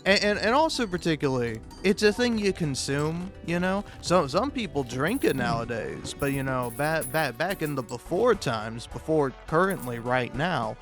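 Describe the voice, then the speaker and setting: A man with a slightly high voice rambles through a casual comic monologue over faint music. He swears freely and trips over his words, repeating bits and breaking off mid-sentence to start again. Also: very animated, a bit bright. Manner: profane, halting, self-interrupting with stammered repeats; chuckles often while talking. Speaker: host of a comedic video.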